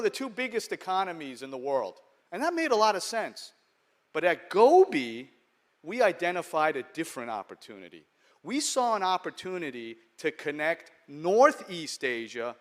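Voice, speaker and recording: Keynote speaker: middle-aged American man, New York accent, slightly high, chatty and joking. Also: sing-song, moderate pace. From United States. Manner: conversational, humorous, checks in with "okay?". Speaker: venture capital investor and keynote speaker. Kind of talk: conference keynote speech. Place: large conference hall.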